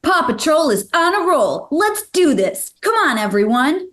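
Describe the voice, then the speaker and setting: An eager boy team leader played by a woman with a fairly high, very lively voice. The delivery is earnest and animated, like a children's cartoon script read aloud. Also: a little slow, exaggerated voice. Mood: rallying.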